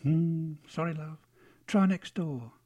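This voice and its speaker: A man's voice: fairly high pitch, slow, mellow, voice pushed up. A man voicing a kindly, chatty café lady in a story, with a familiar, homely manner. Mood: kindly, brisk. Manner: familiar, calls the customer love.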